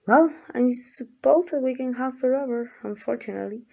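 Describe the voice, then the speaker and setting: Casting: actress as obsessively loving female yandere captor, higher-pitched voice, gushing and coaxing, ASMR roleplay. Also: moderate pace, gravelly, warm tone. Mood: tender, coaxing. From Mexico. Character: doting, obsessive yandere woman kidnapper comforting captive.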